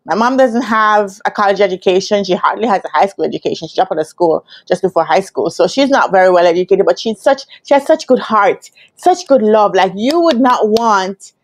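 Jamaican woman telling her story, mid-pitched. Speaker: career coach telling her own story. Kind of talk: personal storytelling to camera. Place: indoor room with curtains. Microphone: lapel mic.

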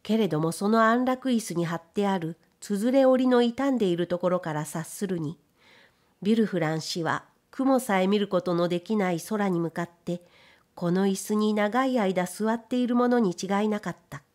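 A woman reading literary fiction as scripted narration, in a measured storytelling manner. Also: mid-range voice, a little mellow. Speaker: audiobook narrator.

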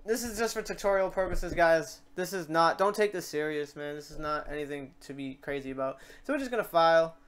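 A man hosting a casual software tutorial, informal and rambling, thinking aloud as he works. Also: fairly high pitch, a little fast, few pauses, smooth. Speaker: tutorial host. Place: indoors at a computer.

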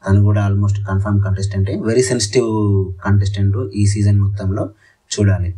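A man's voice: fairly low pitch, level tone, fairly quick, mellow, husky, far from the mic, poor audio. A man with a Telugu accent presenting an entertainment news update as a channel host, addressing viewers politely.

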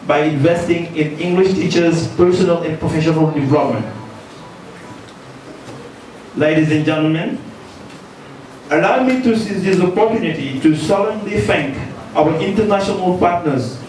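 A man's voice: a little high, darkish tone. Senegalese man reading a formal welcome speech in an echoey hall. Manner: measured, ceremonious, reading aloud. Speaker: association president. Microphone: handheld mic, filmed from a distance. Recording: echoey hall, distant, faint noise.

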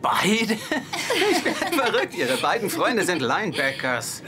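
A man's voice: sits high, very animated, measured pace, noisy background. An actor dubbing an earnest, easily flustered young man in a German sitcom. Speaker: dub actor.

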